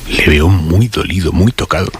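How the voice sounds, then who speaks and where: Low-voiced Spanish radio interviewer, probing. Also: male voice, normal speed, husky. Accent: Spain. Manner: probing, formal. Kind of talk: live news interview by phone. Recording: faint background noise.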